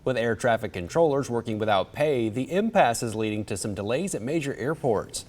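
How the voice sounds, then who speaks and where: A man anchoring a local newscast, reading the news in a steady, measured way. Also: medium pitch.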